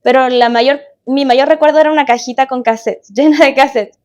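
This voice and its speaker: A woman's voice: sits high, fairly even intonation, brisk, a little mellow, dull audio. A woman in her late twenties with a Chilean accent, chatting casually as a podcast guest about her own life. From Chile, born in Guatemala. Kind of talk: casual interview chat, personal reminiscence.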